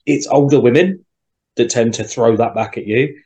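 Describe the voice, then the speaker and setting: A young man in a casual group discussion, reflecting out loud and often acting out quoted remarks. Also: mid-range voice, moderate pace, low-quality recording. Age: young adult. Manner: reflective, talks around his point with quoted asides. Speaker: podcast co-host.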